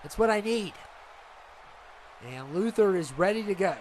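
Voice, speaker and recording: A middle-aged man commentates a video game wrestling show with a stuffed-up nose. His voice sits rather high, and he rambles excitably, piling filler on filler. A faint background hum runs under everything. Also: unhurried.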